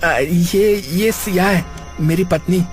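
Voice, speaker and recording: A man's voice: sits high, slow pace. Actor playing an easygoing young man, colloquial, casual, joking, cartoon dialogue, light music behind. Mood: nervous. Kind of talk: cartoon character acting.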